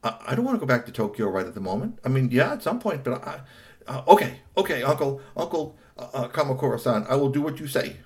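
A man's voice: mid-range voice, sing-song, quick pace, voice pushed up, natural voice. A man voicing a nervous young man in a told story, who stammers apologies.

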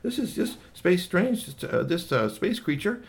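A childlike tentacled alien, acted out by a male storyteller, pitched well above a man's usual talk. The creature is curious, polite and bewildered, picking quaint, formal words haltingly as it swoops through a storybook tale. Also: sing-song, gravelly, exaggerated voice. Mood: puzzled concern.

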